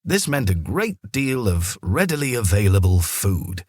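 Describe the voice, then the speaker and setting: A man narrates a scripted story in an expressive, measured way. His voice sits fairly low with a husky grain and moves through lively intonation. The sound is very dry. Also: dry recording. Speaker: storyteller, audiobook reader.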